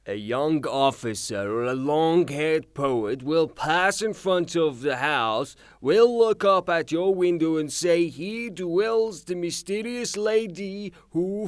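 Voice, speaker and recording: An actor playing a blunt, hot-tempered creditor in a theatrical audio drama, speaking in a mocking, brusque way. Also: male voice, fairly high pitch, clear, resonant tone, no echo.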